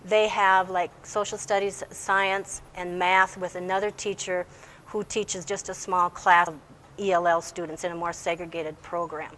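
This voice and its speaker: A woman's voice: fairly low pitch, little pitch movement, moderate pace, fairly bright tone, low-quality recording. Woman, schoolteacher in an interview. Explanatory, plain-spoken delivery, slight background noise. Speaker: English language teacher.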